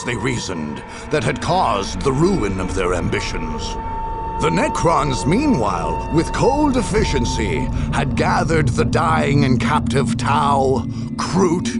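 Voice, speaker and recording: A man giving scripted dramatic narration of a war history in a grave, deliberate, theatrical manner. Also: medium pitch, big pitch swings, creaky. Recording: music behind the voice. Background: game music.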